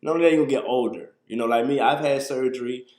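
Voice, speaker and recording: A man's voice: mid-range voice, fast talker, darkish tone, roomy sound. A man in his thirties hosting a casual livestream, talking loosely and answering viewer questions from a small room on a webcam. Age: 30s. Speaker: livestream host. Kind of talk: casual live talk to viewers.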